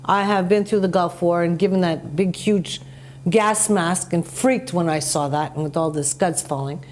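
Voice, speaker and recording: A woman hosting a conversational TV interview, telling anecdotes in an animated, emphatic way. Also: on the low side, moderate pace, a little hiss or hum. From North America. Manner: animated, emphatic storytelling with acted-out quotes. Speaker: talk show host.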